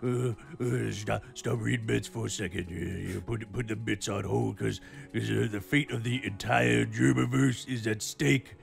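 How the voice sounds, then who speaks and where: A man voicing a gruff, frantic bear man from a ruined future, stammering through an urgent comic plea. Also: lowish voice, steady tone, normal speed. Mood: urgent, flustered. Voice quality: gravelly. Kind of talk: comic in-character recorded plea. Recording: music under the voice.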